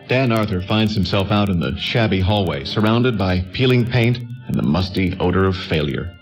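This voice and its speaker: A male narrator tells the story of a radio play in scripted style. His voice sits on the low side. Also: animated, normal speed, faint music behind.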